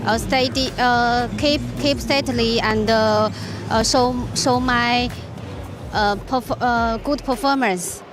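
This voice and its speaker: Halting, slow-talking woman. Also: on the high side, steady tone, music in the background. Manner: halting, hesitant English. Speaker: esports player.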